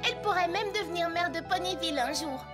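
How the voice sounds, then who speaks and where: Casting: sweet excitable pony girl, extremely high cartoon voice, music in back. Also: quick pace. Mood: excited. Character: young filly pony, girlish and excitable. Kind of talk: French cartoon dubbing.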